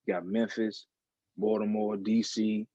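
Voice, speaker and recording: Deep-voiced D.C. rapper, dark and dry. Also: male voice, level tone, a little slow, no room sound, lo-fi sound. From Washington, D.C. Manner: blunt, slangy storytelling with many "you know what I'm saying". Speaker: rapper guest. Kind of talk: casual podcast interview.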